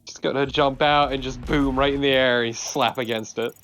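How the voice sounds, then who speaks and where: A man's voice: medium pitch, steady tone, normal speed, fairly bright tone. A man co-commentating on a let's play with casual banter, wry, teasing and sweary. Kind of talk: casual banter over gameplay.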